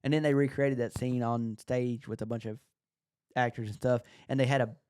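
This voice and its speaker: A man's voice: medium pitch, steady tone, moderate pace, darkish tone, clear, resonant tone, dry recording. Man, dry close recording. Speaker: podcast co-host. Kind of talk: casual film chat.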